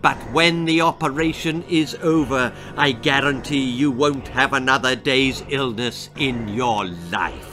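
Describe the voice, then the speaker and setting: A man playing a gleefully sinister, calmly cruel doctor in a children's horror storybook, measured and menacing. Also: medium pitch, music in the background, natural voice.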